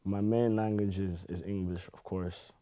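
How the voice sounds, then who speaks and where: A man with a very low voice and a warm, dark tone. He chats casually as a podcast guest, telling stories in an easygoing, rambling way full of "you know" and "like". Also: steady tone, measured pace. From Zimbabwe. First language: Shona. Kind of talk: casual chat.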